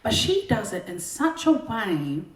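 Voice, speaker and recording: A woman's voice: mid-range voice, big pitch swings, unhurried. A woman, a singer and singing teacher, chatting casually and joking between songs on a home livestream. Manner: chatty, joking, self-deprecating, big belting voice. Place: home room during lockdown. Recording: roomy echo, faint backing music.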